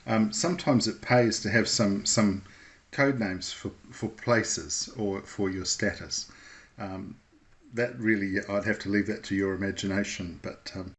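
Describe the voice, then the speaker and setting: Low-voiced man giving an informal tutorial. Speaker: instructor presenting a radio how-to video.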